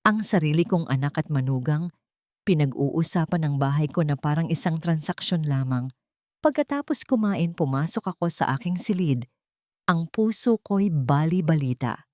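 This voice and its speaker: A woman's voice: low-pitched, expressive, normal speed, a little mellow, no echo, studio quality. A woman reading a scripted first-person dramatic story as its narrator.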